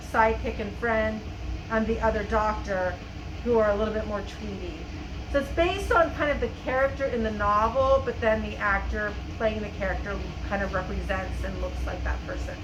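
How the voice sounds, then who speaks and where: A woman with a slightly high voice talks as an interviewed costume designer. Her delivery is casual and explanatory. The recording is echoey, with clear background noise. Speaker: costume designer and theater professor being interviewed. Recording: echoey, noisy room recording.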